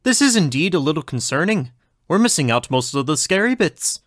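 A man acting a formal scientist with swooping pitch. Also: sing-song, brisk, a bit bright, no echo, studio quality. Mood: calm, reassuring. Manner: precise, formal speech. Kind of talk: audio drama acting.

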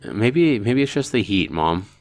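A man's voice: lowish voice, very animated, measured pace, faint music behind, voice pushed up. A man voicing a glum teenage schoolboy in a visual novel, sulky, grumbling and dejected.

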